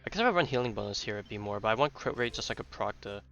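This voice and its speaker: A man's voice: on the low side, expressive, very fast, creaky, fairly bright tone. A man streaming a video game, thinking aloud in casual commentary. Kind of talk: casual live gameplay commentary. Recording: slightly dull audio, game music behind.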